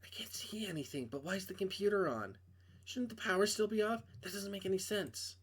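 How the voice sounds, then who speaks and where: A man voicing a frightened, hesitant young woman who talks in a hushed, anxious voice in a visual novel. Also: high-pitched, fairly quick, breathy edge, voice pushed up. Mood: scared, hushed.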